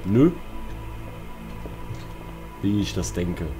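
A man's voice: deep voice, animated, drawn-out words. A man with a German accent giving casual, jumpy commentary on a horror game, often talking to himself and exclaiming. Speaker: let's player. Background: game music and sounds.

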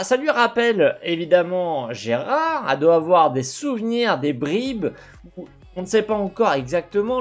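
A young man giving casual, speculative commentary as a gaming video host, halting at times and asking himself questions. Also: sits high. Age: young adult. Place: indoor gaming setup.

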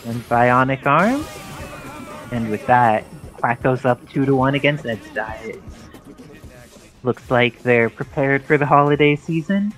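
A man's voice: mid-range voice, unhurried, a bit bright. Man commentating over game music. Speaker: esports commentator. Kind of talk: live fighting game play-by-play commentary. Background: game music under the commentary.

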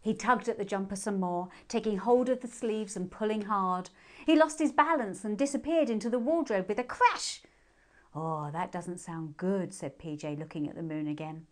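A woman's voice: mid-range voice, animated, normal speed. A woman with a British accent reading storybook narration aloud expressively for children.

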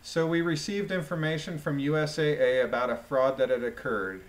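A man reading a court transcript aloud as questions and answers, deliberately, with informal delivery as a commentator. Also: medium pitch, poor audio.

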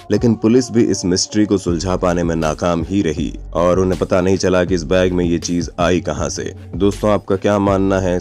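A man's voice: sits low, darkish tone. A man reading scripted narration for an online video, addressing the listener directly as he tells it. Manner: addresses listeners with rhetorical questions. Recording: music under the voice. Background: background music.

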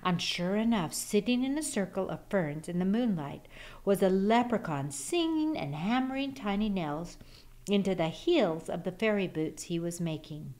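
A woman reading a children's picture book aloud as an expressive storyteller. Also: mid-range voice, moderate pace.

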